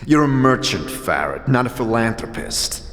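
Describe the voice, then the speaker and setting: An actor playing a grave, earnest undead wanderer questioning others in a fantasy audio drama. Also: male voice, mid-range voice, big pitch swings, moderate pace, fairly bright tone. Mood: probing, firm. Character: grave undead male wanderer seeking his lost past. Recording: roomy reverb.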